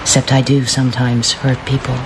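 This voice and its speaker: An actress playing a cool, dangerous female mercenary, terse, dry and unhurried, in a radio drama. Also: rumbling low, level tone. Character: tough, cool female razor-fingered mercenary, laconic and dangerous. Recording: noisy background ambience, rain-like hiss.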